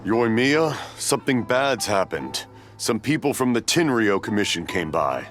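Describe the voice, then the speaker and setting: Animated, anxious old shopkeeper. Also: male voice, on the low side, unhurried, soft background music. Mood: worried. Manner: urgent, expressive. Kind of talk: video game voice acting.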